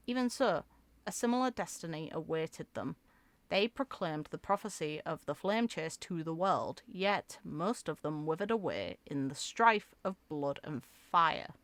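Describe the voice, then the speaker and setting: A woman reading video game story text aloud in an expressive, theatrical way, as a content creator. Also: low voice, no echo. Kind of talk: reading game lore aloud with reaction asides.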